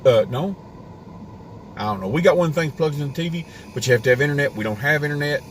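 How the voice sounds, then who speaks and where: A man's voice: fairly high pitch, lively intonation, fast talker, a bit bright, a little hiss or hum. A man hosting a homesteading live stream from inside a parked car, rambling in a casual rant with a worn voice. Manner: ranting, rambling with restarts. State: voice getting worn.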